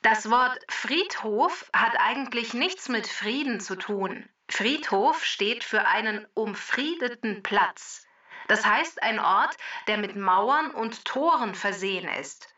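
Bright, high-voiced know-it-all talking database. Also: female voice, animated, slow pace, distant mic, highs cut off, exaggerated voice. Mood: brisk quizmaster. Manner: theatrical, emphatic, addressing children directly. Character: immortal talking database, female quiz-giving know-it-all machine. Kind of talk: scripted explaining and quizzing for children.

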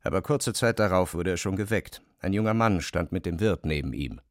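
A man's voice: low-pitched, expressive, a little fast, dry recording, clean studio sound. A man narrating a scripted literary radio drama as its storyteller. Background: faint music.

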